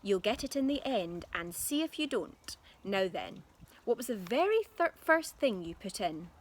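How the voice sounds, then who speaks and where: A woman voicing an excitable, bossy, impatient father in a children's storybook, full of urgent exclamations. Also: mid-range voice, a little slow, a little hiss or hum. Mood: eager. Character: excitable impatient father, adult man, booming with enthusiasm.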